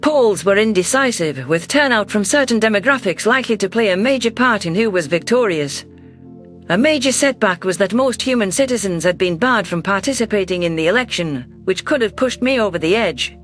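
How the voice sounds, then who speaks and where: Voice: medium pitch, lively intonation, fairly quick, fairly bright tone, faint music behind. A narrator reading a first-person science fiction story in a scripted, audiobook style.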